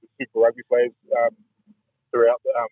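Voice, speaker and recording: Brief: New man, radio guest over a tinny phone line, husky, quick and halting, flat delivery. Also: medium pitch, no echo. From New Zealand. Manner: halting, restarts often, searching for words. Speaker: rugby guest interviewee. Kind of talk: radio interview.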